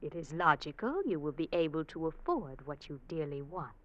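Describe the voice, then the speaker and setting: Scheming, coaxing radio-drama wife. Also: female voice, deep voice, animated, muffled recording. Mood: coolly persuasive. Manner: deliberate, persuasive, sententious. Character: scheming, coaxing farmer's wife who argues by proverbs. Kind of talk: old-time radio drama acting.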